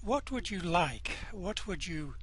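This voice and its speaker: A man's voice: high-pitched, big pitch swings, takes it slowly, a little hiss or hum, full character voice. A man voicing a cheerfully evil male alien prison official with a smarmy, falsely polite way of talking, in an amateur radio play reading.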